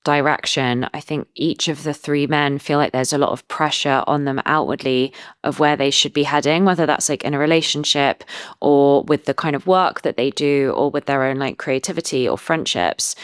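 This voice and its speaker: A woman with a clear, smooth voice in a dry recording. She speaks as an interviewer in a conversational, reflective way, thinking through ideas as she frames her questions. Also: deep voice, dry recording.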